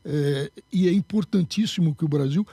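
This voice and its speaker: A man's voice: on the high side, moderate pace, warm tone, husky, no echo. Emphatic man explaining law. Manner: emphatic, lecturing. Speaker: candidate and jurist. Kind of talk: political interview.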